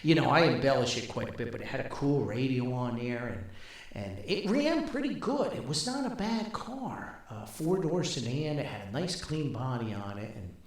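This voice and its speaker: An older man telling a rambling, casual story as a podcast host, with a folksy, wry, halting manner. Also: medium pitch. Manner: folksy, wry, animated, halting with long asides. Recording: very echoey, reverberant room.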